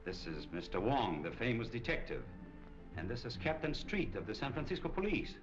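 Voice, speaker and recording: An actor playing a slick middle-aged crook with a smooth, controlling manner, in 1940s crime film style. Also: male voice, medium pitch, big pitch swings, fairly quick, natural voice. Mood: smooth, guarded. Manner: smooth, controlling, veiled threats. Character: slick, menacing male cafe owner and smuggling crook. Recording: dull old film soundtrack, music behind.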